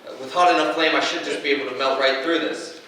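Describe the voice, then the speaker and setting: Echoey, distant stage role: actor as hopeful young hero, slightly high voice, stammering, earnest and encouraging. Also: male voice, steady tone, quick pace, bright, forceful tone, a little hiss or hum. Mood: flustered. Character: nervy but hopeful young hero with fire powers. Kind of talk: staged reading stage acting. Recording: echoey room, distant mic.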